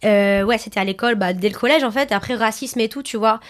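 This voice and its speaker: A young woman from Italy who grew up speaking Arabic and Italian talks in a fairly high voice. She chats informally and uses plenty of slang, as a video creator would on a podcast. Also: fast talker. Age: young adult. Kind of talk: casual chat.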